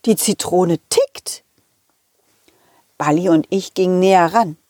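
A woman voicing a cheerful, excitable, loyal dog who tells stories to children in a playful, chatty way. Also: fairly low pitch, takes it slowly, no echo, clean studio sound, voice pushed down. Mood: curious, amused. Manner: playful, chatty, giggly, addressing children directly. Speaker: puppet character host. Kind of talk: children's audio story narration.

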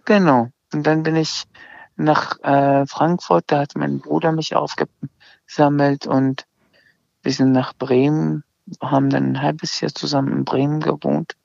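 A middle-aged man with a heavily gravelly voice, speaking slowly and hesitantly, often restarting his sentences. His is Azerbaijani. The recording is dry and slightly dull. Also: fairly high pitch, flat delivery, darkish tone, no room sound. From Iran. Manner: hesitant, many restarts and fillers. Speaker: interview guest. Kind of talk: casual conversation.

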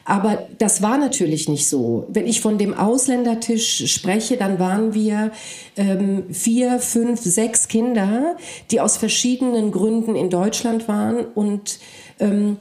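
Woman, native Polish speaker, interview guest, mellow tone, roomy echo. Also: medium pitch. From Germany. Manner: thoughtful, searching, many hesitations. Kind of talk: reflective conversation.

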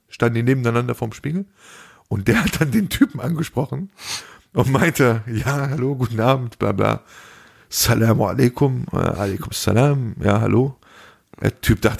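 Young man with a gravelly, husky voice. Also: medium pitch. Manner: slangy, acts out dialogue in anecdotes. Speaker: podcast host. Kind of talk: casual chat, animated storytelling.

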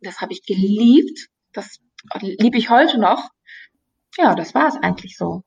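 A woman's voice: medium pitch, expressive, unhurried, vocal fry, a little mellow, slightly rough. Middle-aged woman from East Berlin, interview guest, chatty and hesitant, slightly dull recording. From East Berlin, Germany. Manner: chatty, hesitant, many fillers and restarts. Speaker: guest, radio play voice actress. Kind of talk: casual interview chat.

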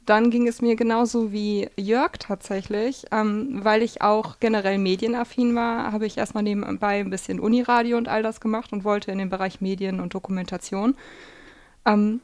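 Bibliothekarin mit trockenem Klang. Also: female voice, medium pitch, fairly even intonation, moderate pace, darkish tone, dry recording. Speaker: librarian. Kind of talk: casual podcast chat.

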